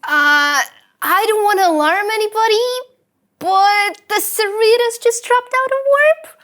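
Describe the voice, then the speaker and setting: A woman's voice: very high voice, a little slow, a bit bright. A woman playing a timid, eager, polite young starship ensign in a sci-fi cartoon table read, hesitant and awkward. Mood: worried, alert.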